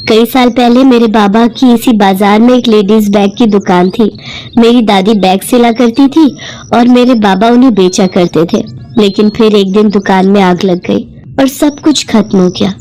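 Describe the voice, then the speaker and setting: A woman's voice: fairly high pitch, mellow, close to an everyday voice. A woman voicing a poor, humble young hotel worker, earnest and deferential, in a cartoon moral story.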